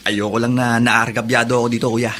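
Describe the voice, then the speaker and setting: A man's voice: mid-range voice, little pitch movement, a little fast, sharp tone, a little hiss or hum, lightly acted. A man playing a hurt, defiant younger brother in prison in a Filipino radio drama. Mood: defensive.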